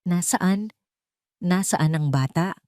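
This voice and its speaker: A woman's voice: lowish voice, takes it slowly, a little mellow, dry recording, studio quality. A woman with a Filipino accent voicing a desperate, protective mother in an audio drama, urgent and pleading. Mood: breathless pleading. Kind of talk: audio drama character acting.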